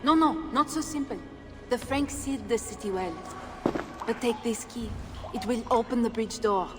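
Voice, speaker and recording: A woman performs a sly smuggler who plays the humble bard in a game cutscene. Her voice is on the high side, teasing and theatrical. Music is plainly heard behind her. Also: moderate pace, natural voice. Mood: sly, conspiratorial. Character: sly, silver-loving female smuggler posing as humble bard. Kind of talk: video game cutscene acting.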